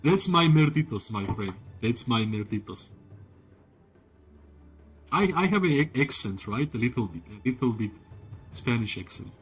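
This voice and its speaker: Guy streaming a game, middle pitch, rambly chat with viewers, soft game music behind. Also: big pitch swings, measured pace, long pauses, slightly rough. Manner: rambling, leans on "like" and tag questions "right?"; talks to chat viewers directly. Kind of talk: casual live-stream commentary while playing.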